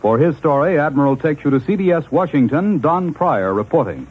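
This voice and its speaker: A man's voice: on the high side, expressive, a little fast. An American man announcing and handing over as anchor of a 1940s radio news broadcast. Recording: thin, narrow-band 1940s radio recording.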